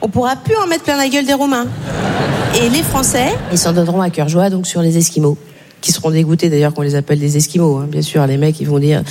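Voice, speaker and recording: A woman's voice: fairly low pitch, steady tone, a little mellow. Sardonic woman doing stand-up, very echoey hall. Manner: sardonic, conversational, deliberately provocative. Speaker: stand-up comedian. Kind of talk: stand-up comedy monologue. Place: stage of a comedy show. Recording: reverberant stage hall with background noise.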